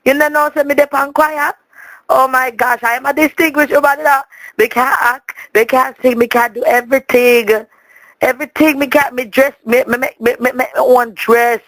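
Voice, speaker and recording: A woman with a Jamaican accent speaking patois, telling a rambling comic story in a dramatic, expressive way and repeating words for emphasis. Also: sits high, normal speed, no room sound, poor audio.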